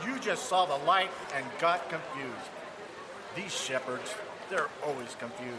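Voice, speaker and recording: An actor playing a gruff Roman centurion guard in a live audio drama, giving curt orders. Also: male voice, on the high side, lively intonation, measured pace, very noisy. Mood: dismissive.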